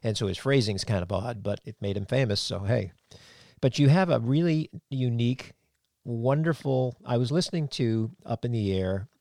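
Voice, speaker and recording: A man's voice: mid-range voice, moderate pace, dark tone, no echo, studio quality. A man hosting an interview, talking at length in long run-on thoughts and complimenting his guest. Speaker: podcast host, former sound engineer. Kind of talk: casual interview chat.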